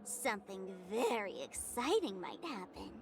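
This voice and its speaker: A woman's voice: medium pitch, huge pitch swings, unhurried, a crowd in the background. A woman playing a playful, teasing young woman in a video game, coy and theatrical. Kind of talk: scripted video game dialogue. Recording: game scene with noisy ambience.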